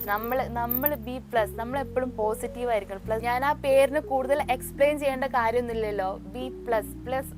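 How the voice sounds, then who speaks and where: A woman talks in a casual vlog style. She addresses her viewers directly and informally, dropping in English words. Music plays clearly behind her. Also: on the high side, normal speed. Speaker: vlogger and small clothing business owner.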